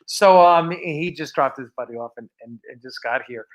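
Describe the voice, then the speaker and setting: A man's voice: mid-range voice, normal speed, highs cut off. A man hosting a casual livestream, chatting in a rambling, informal way. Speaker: host of a fan production livestream. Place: film set of a starship bridge.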